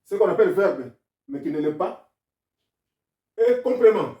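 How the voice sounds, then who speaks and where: A man's voice: high-pitched, lively intonation, unhurried, reverberant, far from the mic, lo-fi sound. Argumentative man with a non-native French accent. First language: not French. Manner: argumentative, insistent, explaining step by step. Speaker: self-taught grammar commentator. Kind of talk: explanatory video lesson.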